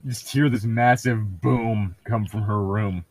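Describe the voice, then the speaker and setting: A man's voice: fairly low pitch, fairly even intonation, slow, a little mellow, no echo. A man co-hosting a read-along show, switching between reading passages aloud and casual, sarcastic, sweary commentary. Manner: sarcastic, profane.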